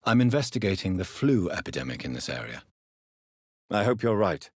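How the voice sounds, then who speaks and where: An actor playing a grave, refined British doctor turned vampire in a video game, speaking formally with measured, precise diction. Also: male voice, sits low, big pitch swings, slightly rough, no echo, clean studio sound, heavily acted. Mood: probing, composed. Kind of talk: video game character dialogue.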